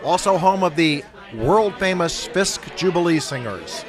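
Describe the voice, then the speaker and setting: Middle-aged radio guy, a bit high voice, calm informative answers, crowd noise in back. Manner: measured, informative. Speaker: radio broadcaster guest. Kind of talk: interview answers.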